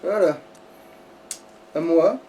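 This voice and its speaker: A man's voice: fairly high pitch, drawn-out words, warm tone, slight background noise. A man playing and commentating a video game in casual, slangy chat with crude swearing. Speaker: let's play player and commentator. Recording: somewhat distant mic.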